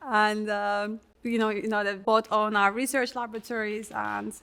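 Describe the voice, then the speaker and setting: A woman's voice: mid-range voice, level tone, brisk, vocal fry, bright, forceful tone. A woman from Turkey with a Turkish accent, a research scientist talking casually and personally, with a rambling, filler-sprinkled way of telling things. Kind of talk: casual conversation about life and career.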